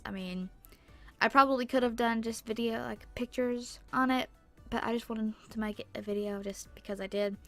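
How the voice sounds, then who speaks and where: A young woman with a stuffy nose and sore throat, rambling chattily through a casual tutorial voice-over on a boom-arm mic. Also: a bit bright. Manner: rambling, chatty, lots of fillers. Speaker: YouTuber. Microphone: microphone on a boom arm.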